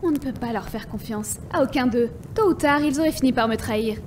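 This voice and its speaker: A woman's voice: a little high, a little fast, very noisy, natural voice. A woman playing a cruel, paranoid teenage princess in a cartoon fan dub, commanding and contemptuous, recorded at some distance. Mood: suspicious, haughty. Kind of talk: animated series fan dub.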